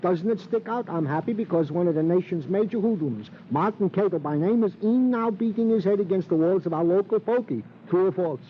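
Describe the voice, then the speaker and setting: An actor playing an excitable police sergeant, the comic sidekick in a 1950s radio crime drama, with flowery, mock-formal, theatrical speech. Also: male voice, high voice, fairly quick, hardly any pauses, close to an everyday voice. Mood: gleeful, pompous. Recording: muffled, faintly noisy old radio recording.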